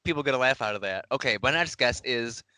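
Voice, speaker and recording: A man's voice: mid-range voice, expressive, quick pace, sharp tone, no room sound. A man chatting casually as a podcast game contestant, in a self-deprecating manner. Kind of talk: casual chat.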